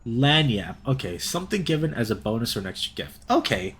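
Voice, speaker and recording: Young guy doing a visual novel let's play, theatrical reading, really lively pitch swings. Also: fairly quick. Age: young adult.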